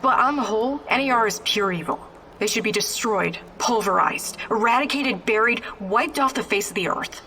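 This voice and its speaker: A woman's voice: a little high, expressive, moderate pace, sharp tone, slight background noise. An actress playing a fiery, impatient young female rebel fighter in a video game, blunt, combative and bitter. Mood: impatient, angry. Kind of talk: video game character acting.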